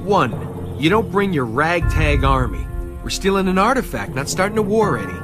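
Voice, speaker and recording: A man's voice: fairly high pitch, big pitch swings, moderate pace, over music. An actor playing a cocky, wisecracking male treasure hunter in a motion comic, with a wry, assertive manner. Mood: wry, firm.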